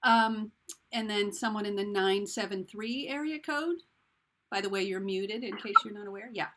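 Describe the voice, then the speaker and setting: A woman running an online group call, calling on people by name in a brisk way and laughing easily. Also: mid-range voice, bright, forceful tone. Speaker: call organizer. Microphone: video call.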